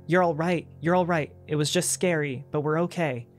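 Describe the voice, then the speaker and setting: A man doing a hushed anxious young mother. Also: fairly high pitch, normal speed, soft background music, voice pushed up, lightly acted. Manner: hushed, near whisper.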